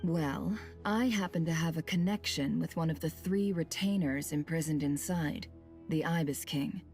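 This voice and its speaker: Cool shrewd boss lady from a game, lowish voice, dry sardonic, soft music under it. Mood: businesslike. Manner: measured, sardonic. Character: shrewd, cool, wry woman boss and deal-maker. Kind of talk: scripted video game voice acting.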